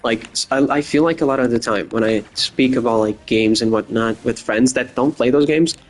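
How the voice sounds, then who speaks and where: Young guy w a bit of boston accent just rambling solo, crude jokes, flat deadpan bits, mid pitch, faint music behind. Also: moderate pace. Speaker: podcast host. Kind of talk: casual solo podcast monologue.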